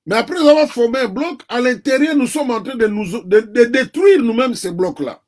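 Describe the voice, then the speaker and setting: A man with a Congolese French accent talks as an interview guest, his voice sitting notably high for a man. His delivery is deliberate and earnest, like someone laying out a lesson on national unity. He speaks French, though Kikongo was his first language. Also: lively intonation. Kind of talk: political commentary in an interview.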